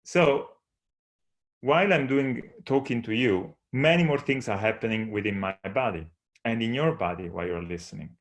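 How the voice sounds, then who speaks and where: A man's voice: medium pitch, normal speed. A man from Italy, a non-native speaker of English with an Italian accent, giving an earnest, explanatory conference talk from slides. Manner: earnest, explanatory, with occasional restarts.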